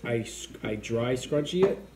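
A man's voice: mid-range voice, animated, unhurried, noisy background. A man in his thirties co-hosting a casual late-night livestream, rambling and swearing with plenty of restarts, talking at a dinner table at home. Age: 30s. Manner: loose, profane, rambling with restarts. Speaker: co-host of a livestream show.

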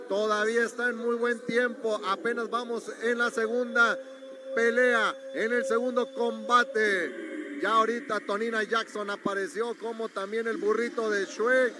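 High-voiced male ringside commentator. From Mexico. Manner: promotional, urging viewers to share. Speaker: wrestling commentator. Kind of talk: live event commentary. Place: university gym arena. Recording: noisy arena.

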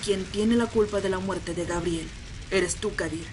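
A woman's voice: mid-range voice, moderate pace, background noise, close to an everyday voice. A woman voicing a commanding vampire matriarch in an audio drama, grave, imperious and fiercely protective. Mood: defiant. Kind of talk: Spanish-language ASMR roleplay audio drama.

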